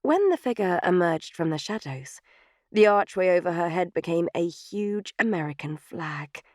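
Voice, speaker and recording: Lower-pitched woman narrating fiction. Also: animated, moderate pace, darkish tone, no room sound, clean studio sound. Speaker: audiobook narrator. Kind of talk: scripted third-person fiction narration.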